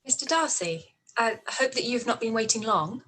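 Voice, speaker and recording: Woman as poised, witty young gentlewoman. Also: a little high, animated, moderate pace, rough recording. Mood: gracious. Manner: polite, composed, lightly teasing. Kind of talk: live-read period radio play acting.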